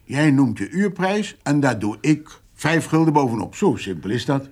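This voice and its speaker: An actor playing a wheedling, self-important farmer in a radio drama, with a husky voice and a placating, insinuating manner. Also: male voice, medium pitch, big pitch swings, normal speed, a little mellow. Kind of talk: naturalistic radio drama acting.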